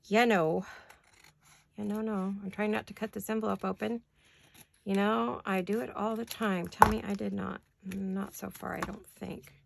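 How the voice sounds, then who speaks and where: A middle-aged woman chats as she crafts at her desk in a home studio, wandering from one aside to the next and poking fun at herself. Her voice has a gravelly fry, and a faint hiss sits under the recording. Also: medium pitch, fairly even intonation, moderate pace. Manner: rambling, self-deprecating, talks while working. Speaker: craft vlogger. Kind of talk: chatty craft tutorial.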